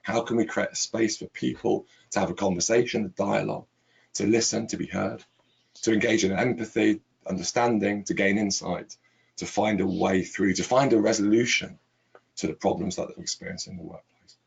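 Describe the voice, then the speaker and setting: A middle-aged man with a hoarse, croaky voice from laryngitis, hosting a webinar and stressing points with long lists of repeated words. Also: on the low side, steady tone, a little fast, rough recording. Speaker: webinar host, chief executive. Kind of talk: webinar presentation.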